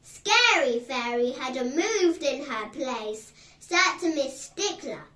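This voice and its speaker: Female storybook reader, very high pitch, deliberate delivery, distant roomy recording. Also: lively intonation, bright, forceful tone. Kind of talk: children's story read aloud. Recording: roomy echo, distant from the mic.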